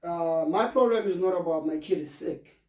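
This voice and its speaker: A man in his late twenties with a South African accent, a brand founder telling his story in a relaxed podcast interview, slipping in local words. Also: a little high, normal speed, a crowd in the background. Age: 20s. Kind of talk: casual interview conversation. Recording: roomy, from a distance.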